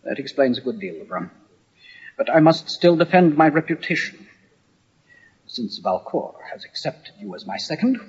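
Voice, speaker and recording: Proud, husky army captain. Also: male voice, mid-range voice. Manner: formal, dignified, theatrical diction. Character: proud, hot-tempered young army captain, newly transferred to the regiment. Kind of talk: old-time radio drama acting. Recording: dull, band-limited old radio recording.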